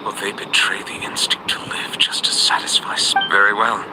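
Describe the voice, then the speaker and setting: Man playing a deep, solemn judge. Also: little pitch movement, lightly acted. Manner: solemn, formal, deliberate. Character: grave, aloof, dignified male judge. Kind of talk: dramatic video game voice acting. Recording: game audio with background noise.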